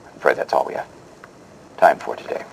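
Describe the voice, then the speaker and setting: A man's voice: slight background noise, close to an everyday voice, low-quality recording. A man playing a pompous, easily flustered host in a parody of a vintage television interview show, with a mock-dramatic delivery. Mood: sheepish. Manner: mock-dramatic announcer delivery, halting and hesitant when questioning.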